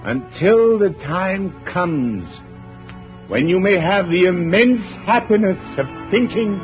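Vintage radio drama: aging scientist, slow emphatic delivery, higher-set voice, earnest and proud, music behind. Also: male voice, animated. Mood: stirring, solemn. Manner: theatrical, slow, emphatic oratory. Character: tired old male scientist, proud, passionate, earnest. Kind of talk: 1940s radio drama acting. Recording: muffled old radio broadcast recording, music behind.

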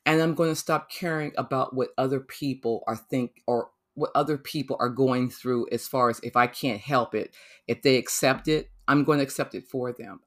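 A man hosting his own podcast, reflecting in a loose, rambling way with many pauses and restarts. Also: mid-range voice. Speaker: podcast host. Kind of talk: solo podcast monologue.

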